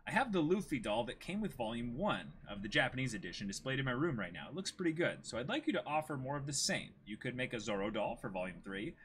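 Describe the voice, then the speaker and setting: A man's voice: mid-range voice, big pitch swings, quick pace, few pauses, fairly bright tone, a little hiss or hum. A young man co-hosting a stream, chatting casually and reading things out. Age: young adult. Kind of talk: casual chat, reading out viewer messages. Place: small indoor streaming setup.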